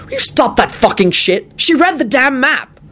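A woman's voice: lowish voice, big pitch swings, normal speed, a little mellow, a little hiss or hum, pitched above the performer's own voice. Woman voicing a brash, swooping, irritable man. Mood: irritated. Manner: blunt, emphatic. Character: brash, foul-mouthed, irritable man, gruffly affectionate.

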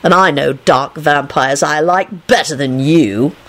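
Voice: medium pitch, a little slow, fairly bright tone. A reader voicing a surly, morose male werewolf wizard in a storybook, snappish and grumbling. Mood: surly, haughty.

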